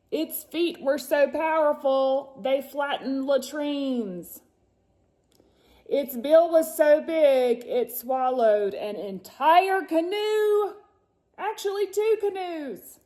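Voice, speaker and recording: A woman's voice: high voice, darkish tone. A woman reads a picture book to her children, theatrical and suspenseful, with chatty asides. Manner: theatrical, suspenseful, often drawing out words. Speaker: mother reading a picture book to her sons. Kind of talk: dramatic storybook read-aloud with chatty asides.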